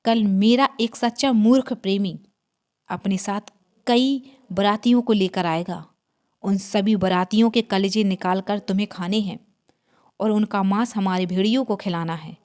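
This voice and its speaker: A woman's voice: mid-range voice, a little slow, darkish tone, lightly acted. A woman storyteller voicing an arrogant, bloodthirsty vampire queen in a horror story, with a haughty, commanding manner. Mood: gloating, commanding. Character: arrogant five-hundred-year-old vampire queen, man-hating and bloodthirsty.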